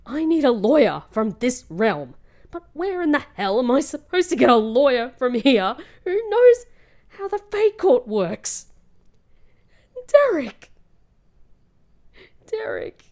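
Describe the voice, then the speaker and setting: A woman's voice: sits high, big pitch swings, slow, pauses often, darkish tone, exaggerated voice. A woman playing a hurt, bitter young woman home from captivity in an emotional audio drama. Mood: angry, anguished. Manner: emotional, dramatic, British slang.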